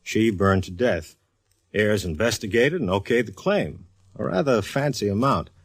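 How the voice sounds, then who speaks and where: An actor playing a dry, easygoing male police inspector in a 1940s radio drama, bantering in a deadpan way. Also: on the low side, normal speed, breathy edge, dry recording.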